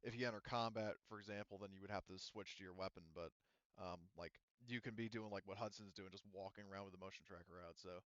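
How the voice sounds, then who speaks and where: A man with a low, clean-toned voice narrates a tabletop game as its game master. He explains in a casual, meandering way. The recording is dry and a little dull. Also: steady tone, fast, a bit bright, dry recording. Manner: rambling, explanatory.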